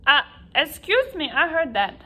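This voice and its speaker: A woman's voice: high voice, big pitch swings, unhurried, sharp tone, heavily acted. A woman voicing a chatty, self-important duck puppet who tells stories dramatically for a children's lesson. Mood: eager, self-important.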